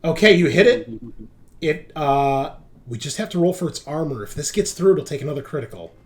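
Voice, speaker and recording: A man's voice: a little high, lively intonation, fairly quick. A man acting as game master, explaining rules and narrating a tabletop roleplaying game in a conversational, explanatory way.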